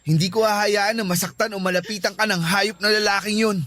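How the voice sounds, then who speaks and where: A man's voice: sits high, steady tone, fairly quick, pushed and bright, no room sound. A man playing a protective, caring young boyfriend in a Tagalog radio drama, earnest and reassuring.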